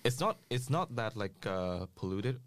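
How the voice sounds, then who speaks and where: A man's voice: on the low side, sing-song, measured pace, dry recording. A young man from Vietnam chatting casually as a podcast guest, hesitant with many fillers and restarts. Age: young adult. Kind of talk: casual group chat.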